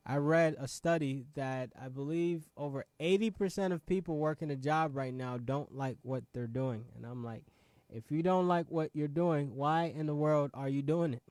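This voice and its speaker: A man's voice: medium pitch, darkish tone, no room sound. Emphatic young man with rhetorical questions. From Ghana. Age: 20s. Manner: frequent restarts and "right?" asides. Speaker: show host. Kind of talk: motivational talk to viewers.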